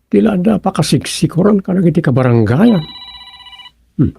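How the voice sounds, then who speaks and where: A woman with an Ilocano accent playing a flustered local on the phone in a radio comedy drama, with a creaky, husky voice. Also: lowish voice, big pitch swings, normal speed, dark tone, a little hiss or hum, heavily acted. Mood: puzzled.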